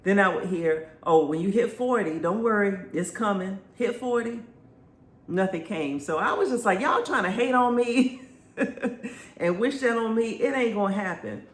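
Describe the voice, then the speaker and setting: A woman's voice: mid-range voice, moderate pace. Stuffy-voiced woman in her fifties. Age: 50s. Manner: chatty, laughs often, lively storytelling. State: congested, stuffy-sounding. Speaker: vlogger. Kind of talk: casual chat to camera. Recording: echoey room, faint background noise.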